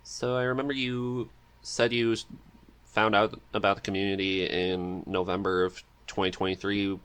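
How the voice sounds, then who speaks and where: A man's voice: on the low side, level tone, moderate pace, a bit bright, smooth. A man hosting a podcast, interviewing his guest in a casual, chatty way.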